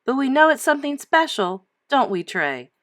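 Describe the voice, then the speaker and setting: A woman's voice: sits high, very animated, moderate pace, a bit bright, pitched above the performer's own voice. A woman voicing an eager, proud young girl in a storybook, exclaiming and confiding. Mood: confident, confiding.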